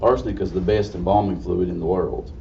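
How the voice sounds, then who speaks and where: A man with a slightly raspy voice gives an informal history lecture in a folksy, halting way. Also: low-pitched, fairly even intonation, warm tone. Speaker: guest lecturer. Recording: distant mic, dull muffled sound, faint noise.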